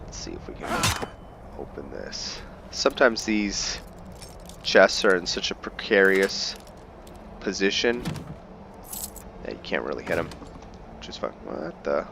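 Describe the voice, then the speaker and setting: A man's voice: low-pitched, sing-song, measured pace, creaky, pauses often, background noise. A man doing casual let's play commentary, thinking aloud to his viewers and slipping into roleplay. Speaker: gaming video host.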